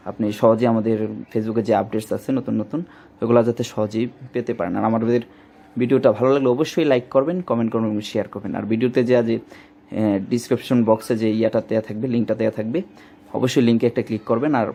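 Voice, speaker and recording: Fast-talking Bangladeshi man pitching products. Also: steady tone, mellow. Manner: repetitive, searching for words with filler placeholders. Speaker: shop presenter demonstrating a product. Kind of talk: informal product demonstration and sales pitch. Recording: faint background noise.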